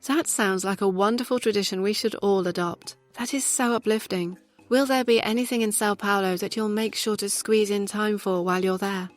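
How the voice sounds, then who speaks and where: A woman with a mid-range voice hosts the podcast and asks her guest questions in an upbeat way. Her lines sound scripted, and soft music plays behind her. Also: medium pitch, fairly even intonation, fairly quick, few pauses. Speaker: podcast host. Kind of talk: scripted podcast hosting with an ad read.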